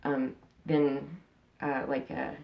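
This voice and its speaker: A woman's voice: extremely low pitch, completely flat delivery, slow pace, creaky, muffled recording. A woman in her thirties talking frankly in a casual interview, rambling with many fillers and restarts. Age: 30s. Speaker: interviewee, channel host.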